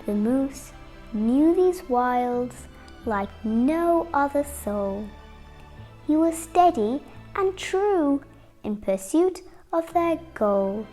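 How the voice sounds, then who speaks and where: Young girl, about eight, for picture-book narration: high voice, very unhurried, dramatic phrasing, faint music underneath. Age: 8-year-old child. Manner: expressive, dramatic phrasing with deliberate pauses. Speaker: young storybook reader. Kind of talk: children's picture-book read-aloud.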